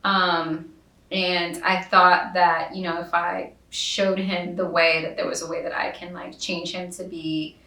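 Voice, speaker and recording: A woman in her late twenties talks through her past with candid, measured thought. Her voice sits slightly low, with a bright, forward edge. The recording is a little distant and echoey. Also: level tone. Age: late 20s. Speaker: interviewee. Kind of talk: reflective documentary interview. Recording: distant mic in an echoey room.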